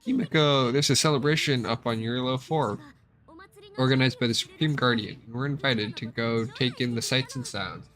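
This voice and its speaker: A man's voice: medium pitch, moderate pace, a bit bright, soft background music, lightly acted. A man voicing a perky, pushy young female adventurer from a space video game, eager and emphatic. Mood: eager, chatty.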